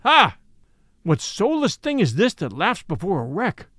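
A man voicing a grim, obsessed old sea captain who booms his orders in an old-fashioned, declamatory way. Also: huge pitch swings, unhurried, fairly bright tone, dry recording, clean studio sound, full character voice. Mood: scornful. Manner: booming, declamatory.

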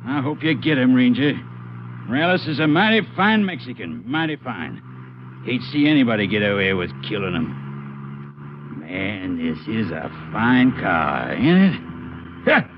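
An actor playing a folksy, shifty farmer with a rural Texan drawl in a 1950s radio western, eager to sound helpful. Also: male voice, mid-range voice, sing-song, a little slow. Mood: chummy, over-eager. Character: folksy, shifty married farmer, slick-talking countryman. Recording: muffled, noisy 1950s radio recording.

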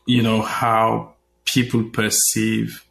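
A man's voice: on the low side, completely flat delivery, slow pace, creaky, fairly bright tone, poor audio. A man from Cameroon, a guest journalist, talking in an interview with many fillers and restarts. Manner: hesitant, many fillers and repeated words. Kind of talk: conversational interview.

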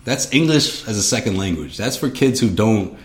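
A young man co-hosting a talk podcast, his voice sitting on the low side. He speaks earnestly and repeats words as he gathers his thoughts. The room lends his voice a noticeable echo. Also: lively intonation, moderate pace. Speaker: podcast co-host. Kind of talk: casual conversation. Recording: roomy echo.

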